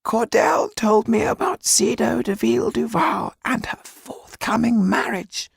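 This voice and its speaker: A man voicing a small, affectionate, excitable older man in an audiobook, with a high, husky, creaky voice and an emphatic, fussing manner. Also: measured pace, dry recording, studio quality, full character voice. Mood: grave. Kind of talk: audiobook character acting.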